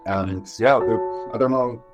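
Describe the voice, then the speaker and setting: A man from Austria, a film director being interviewed, speaking in a halting, reflective way. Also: fairly low pitch, music in the background. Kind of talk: interview storytelling.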